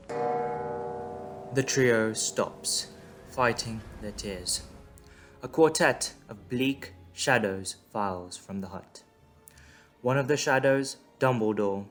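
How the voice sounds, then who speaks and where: A man's voice: medium pitch, a bit bright. A man reading scripted dramatic narration as the narrator of an audio drama. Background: music.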